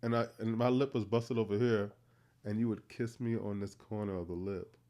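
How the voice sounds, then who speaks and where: Boston guy in his 30s telling a story on a podcast, joking, goes up loud when he teases. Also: on the low side, moderate pace. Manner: playful, animated storytelling, teasing. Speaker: podcast co-host. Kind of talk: casual chat.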